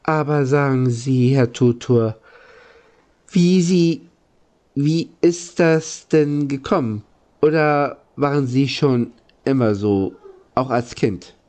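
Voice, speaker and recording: A man's voice: medium pitch, takes it slowly, darkish tone, natural voice. A man voicing a thoughtful, polite, curious male locomotive driver in a storybook reading.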